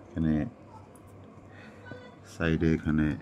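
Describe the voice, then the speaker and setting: Very low-voiced man, flat delivery. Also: takes it slowly, dull audio, faint music behind. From India. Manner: explanatory, mixes in English words and phrases. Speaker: product unboxing presenter. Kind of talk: casual unboxing narration.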